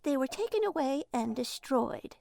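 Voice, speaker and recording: An actress playing a curt, disapproving librarian in an audio drama, prim and dismissive. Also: female voice, sits high, animated, moderate pace, creaky, a little mellow, slight background noise.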